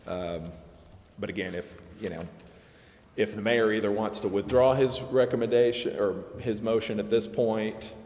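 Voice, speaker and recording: A man with a deep voice explains procedure to a council in an informal, hedging way. He speaks in a roomy, echoing chamber with some noise behind him. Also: fairly even intonation, normal speed, darkish tone. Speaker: legal adviser to a city council. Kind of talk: procedural explanation in a public meeting. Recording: echoey, reverberant council chamber with background noise.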